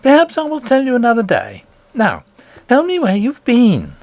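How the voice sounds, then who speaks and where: A man voicing a sceptical, scolding woman nursemaid in a storybook, brisk, dismissive and sarcastic. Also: very high voice, huge pitch swings, a little mellow, no echo, pitched above the performer's own voice, full character voice. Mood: anxious scolding.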